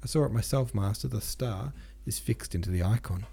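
A man's voice: on the low side, fairly quick, creaky, warm tone, slightly rough, exaggerated voice. A man reading the part of a garrulous, devout old peasant pilgrim woman in an audiobook, earnest and easily shocked. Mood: horrified, admonishing. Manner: rambling, earnest, pious, admonishing.

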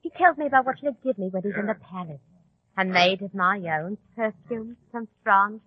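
A woman's voice: medium pitch, normal speed, breathy edge, no echo, close to an everyday voice. A woman playing a naive, wistful sweetheart in a 1940s radio drama, dreamy and confiding. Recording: dull, lo-fi old radio recording.

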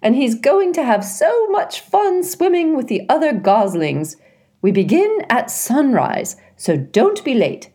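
A woman voicing a chatty, bossy old female goose who talks in a bright sing-song way. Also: high-pitched, big pitch swings, a little slow, pitched above the performer's own voice. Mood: cheerful, bossy.

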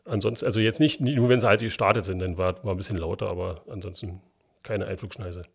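Man, Berlin accent, gravelly, husky, plainspoken, casual interview guest. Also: lowish voice, fast talker. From East Berlin, Germany. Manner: plainspoken, frequent restarts and fillers. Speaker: works council chair, guest.

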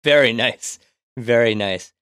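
A man's voice: mid-range voice, slow pace, a bit bright, smooth, no echo, studio quality. A man hosting a podcast, informal and affirming as he interviews in a casual way. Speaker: podcast host.

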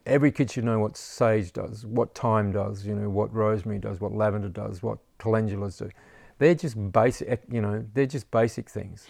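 A man's voice: on the low side, steady tone, normal speed, creaky, a little mellow, dry recording, studio quality. A man, an herbalist teacher chatting casually in an interview, rambling and saying "you know" often.

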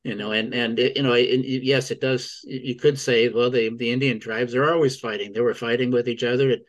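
A man's voice: medium pitch, steady tone, normal speed. Male book club participant, mid-range voice, rambling conversational delivery with repeated words. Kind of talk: casual group discussion.

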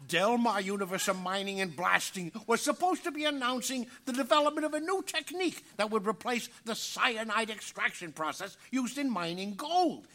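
A man playing a bossy, frantic, scheming dog in a live audio play, excitable and exasperated as he gives orders. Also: high voice, moderate pace, few pauses, full character voice. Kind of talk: live audio theater acting.

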